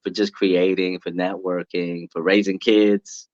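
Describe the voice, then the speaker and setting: A man's voice: deep voice, level tone, unhurried, darkish tone, dry recording, dull audio. A man from the US East Coast, a podcast guest, chatting casually and laughing easily with many fillers. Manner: laid-back, laughs easily, lots of fillers. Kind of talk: casual interview chat.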